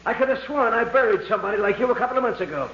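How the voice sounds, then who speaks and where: A man playing a slangy, giggly, jittery undertaker in a 1940s radio comedy, with a gravelly voice. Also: very high voice, animated, very fast, fairly bright tone. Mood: jocular. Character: jittery, chuckling wisecracking undertaker. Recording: dull, noisy, roomy vintage radio, audience behind.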